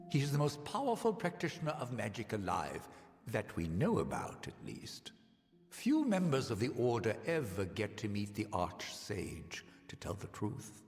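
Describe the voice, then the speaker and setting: A man's voice: lowish voice, sing-song, a little slow, gravelly, a little mellow, exaggerated voice. A man voicing a haughty senior scholar of magic in a fantasy game, pompous and condescending, with dry, precise wording. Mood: smug, wry. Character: haughty male senior scholar of an arcane order. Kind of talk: scripted game dialogue. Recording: long hall reverb, background music.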